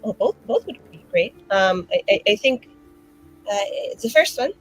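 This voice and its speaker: A woman plays her character in a tabletop game, rambling chattily and leaning on constant fillers. Her pitch swoops up and down expressively. Faint music sits in the background. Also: lively intonation, moderate pace, rough recording. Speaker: player. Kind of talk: in-character roleplay.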